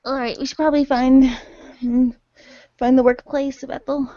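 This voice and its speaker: A woman narrating an improvised role-play game, haltingly making it up as she goes. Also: high-pitched, little pitch movement, mellow, dry recording, dull audio.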